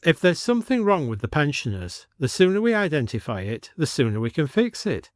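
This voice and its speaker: A man's voice: fairly high pitch, big pitch swings, a little fast, no room sound, studio quality, voice pushed up. A man playing an earnest village doctor with a confident, persuasive, conversational manner in an audio story.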